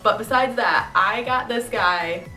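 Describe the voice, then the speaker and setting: A woman's voice: mid-range voice, a little slow, pushed and bright, recorded from a distance. A chatty young woman from the Midwest rambles happily to the camera, her voice nasal and congested from a cold. She sits a little back from the mic in an echoey room, with faint music underneath. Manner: chatty, enthusiastic, rambling with fillers. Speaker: model horse collector and channel host. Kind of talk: casual vlog talk to camera.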